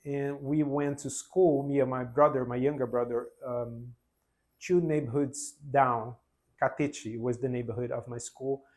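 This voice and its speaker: A man in his forties from Brazil with a Brazilian Portuguese accent, whose first language is Portuguese, telling his own story unscripted in an earnest, halting way with many restarts. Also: medium pitch, steady tone, a little mellow. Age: 40s. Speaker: vlogger. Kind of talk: spontaneous personal testimony.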